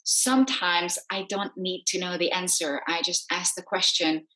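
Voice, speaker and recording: A woman's voice: fairly low pitch, steady tone, normal speed, bright, forceful tone. A woman explaining things in casual conversation as an assistant director, emphatic and repeating short phrases to make her point. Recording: roomy, echoey sound.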